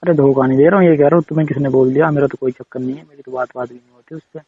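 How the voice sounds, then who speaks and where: A man's voice: medium pitch, fairly even intonation, rapid-fire, breathy edge, dry recording. A man on a casual personal phone call, defensive and pleading as he repeats himself. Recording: dull, slightly muffled call recording.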